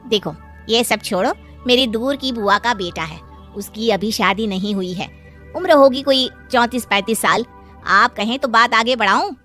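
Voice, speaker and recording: A woman acts a meddling female relative in a moral-story cartoon. The voice is very high and bright, with light music behind. She needles in a pointed, busybody way. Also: normal speed. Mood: nosy, coaxing. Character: meddling, taunting women of family and neighbourhood.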